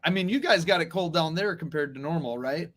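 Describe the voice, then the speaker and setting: American man, somewhat high voice, casual chat, easygoing, good-humored, morning show co-host. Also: fairly quick, fairly bright tone.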